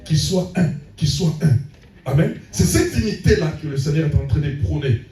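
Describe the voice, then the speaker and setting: A man's voice: on the high side, lively intonation, moderate pace, dark tone. A man preaching a sermon as pastor, punctuating his points with frequent calls of amen. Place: church hall. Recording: echoey church room, voice from a distance.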